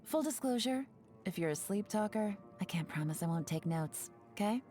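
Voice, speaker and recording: A woman voicing a sly, laid-back young woman spy for a video game, speaking in a smooth, teasing, unhurried way. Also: lowish voice, darkish tone. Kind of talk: video game character voice lines.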